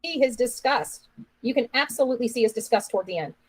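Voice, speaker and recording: A woman's voice: a little high, expressive, quick pace, darkish tone, rough recording. A woman giving opinionated live-stream commentary in an accusatory, insistent manner, repeating phrases for emphasis. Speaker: online commentator.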